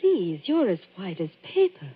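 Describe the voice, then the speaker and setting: An actress playing a polite, effusive and devoted companion secretary in 1940s radio style. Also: female voice, medium pitch, big pitch swings, moderate pace, slight background noise, natural voice. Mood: devoted, eager. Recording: muffled vintage radio recording.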